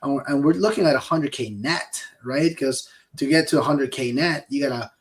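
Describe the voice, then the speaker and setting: A man's voice: mid-range voice, moderate pace, a little hiss or hum. A man in his forties from Peru with a Peruvian accent, co-hosting a casual business podcast in an upbeat, motivational way. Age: 40s. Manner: upbeat, motivational, hyping up the listener. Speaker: podcast co-host.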